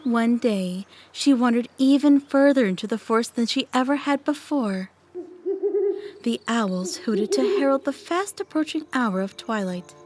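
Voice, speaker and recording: A young woman acting a calm, mysterious big sister telling tales in a measured way in an audio play. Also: on the high side. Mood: gentle narrating. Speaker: storyteller. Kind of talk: dramatic storytelling in an audio play.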